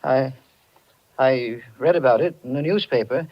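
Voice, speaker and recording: An actor playing a guilty, cornered American husband, stammering and evasive in 1940s radio drama style. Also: male voice, mid-range voice, unhurried. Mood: nervous, evasive. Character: nervous, guilty husband, a cornered hit-and-run driver. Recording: muffled 1940s radio recording.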